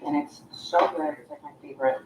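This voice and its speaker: A woman from the Middle East talking chattily and upbeat in a morning vlog, her voice slightly rough and scratchy. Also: lowish voice, big pitch swings, fairly quick, distant mic. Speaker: lifestyle vlogger. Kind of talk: casual morning vlog talk to camera. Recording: roomy with faint background noise.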